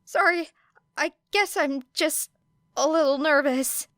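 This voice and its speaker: A woman's voice: high voice, slow, fairly bright tone, dry recording, voice pushed up. A woman voicing a nervous, polite teenage boy who stammers awkwardly, in an audiobook story. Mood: anxious. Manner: stammering, flustered.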